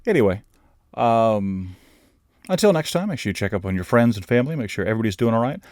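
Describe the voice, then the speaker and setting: A man's voice: lowish voice, quick pace, dry recording, clean studio sound. A man giving a casual, rambling film review, self-deprecating and loose, with plenty of fillers. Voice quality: says his own voice is normally monotone. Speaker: movie reviewer.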